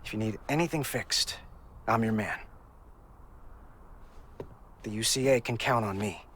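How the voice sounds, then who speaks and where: A man's voice: lowish voice, unhurried, a bit bright, a little hiss or hum. An actor playing a humbled, earnest repairman in a cinematic video game, speaking plainly and from the heart. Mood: contrite, resolute. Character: humbled, earnest repairman in love, making amends. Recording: music behind the voice.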